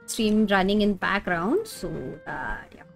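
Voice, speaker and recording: A woman's voice: mid-range voice, animated, measured pace, vocal fry. A woman with an Indian accent chatting casually on a game stream, repeating phrases and switching between Hindi and English. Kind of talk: casual livestream gaming chat. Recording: music playing behind the voice. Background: game music.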